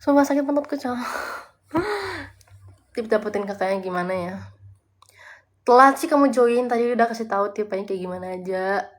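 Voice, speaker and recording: A woman's voice: medium pitch, normal speed, pauses often. Young woman from Bali, chatty streamer, answers her viewers' comments and drops in English. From Bali, Indonesia. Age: young adult. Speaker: livestreamer. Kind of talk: casual live-stream chat. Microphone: phone.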